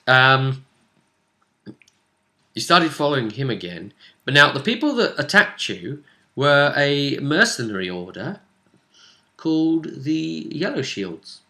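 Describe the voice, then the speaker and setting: A man's voice: medium pitch, big pitch swings, takes it slowly, gravelly, pauses often, pushed and bright. A man speaking as game master, casually recapping a tabletop game session and often thinking aloud as he goes.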